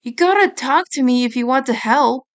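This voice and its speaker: A woman's voice: high voice, expressive, normal speed. A woman voicing a stubborn, protective teenage male turtle ninja, the blunt older brother, in a fan story reading. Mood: concerned.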